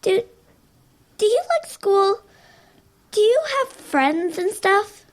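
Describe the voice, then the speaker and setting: A timid little schoolgirl, played by a woman in an audio drama. The voice is extremely high and moves up and down in a lively way. She sounds hesitant, plaintive and worried. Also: takes it slowly, exaggerated voice. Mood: hesitant, uneasy.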